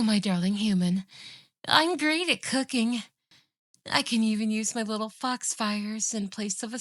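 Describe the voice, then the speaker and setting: A woman's voice: a little high, a bit bright, no echo, clean studio sound. A woman playing a possessive, obsessive fox-spirit yandere in a scripted ASMR roleplay, with a sugary, boastful, taunting manner.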